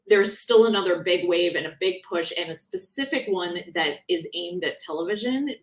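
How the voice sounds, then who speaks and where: A woman author talking in a conversational interview, explaining things in an animated, informal way. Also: on the low side. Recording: roomy, echoing sound.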